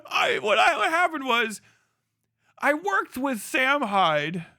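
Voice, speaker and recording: A man's voice: extremely high pitch, huge pitch swings, takes it slowly, sharp tone, no room sound, clean studio sound. A man hosting a livestream with casual, profane commentary, sarcastic and incredulous, often repeating phrases for emphasis. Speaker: livestream host. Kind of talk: casual commentary to a live audience.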